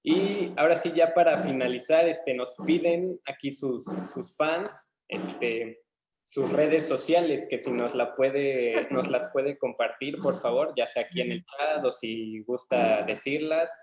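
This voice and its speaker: Echoey room, young man, medium pitch, polite interviewer, hesitant delivery. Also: a crowd in the background. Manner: hesitant, halting. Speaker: student interviewer. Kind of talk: polite webinar questioning. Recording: roomy, echoey sound.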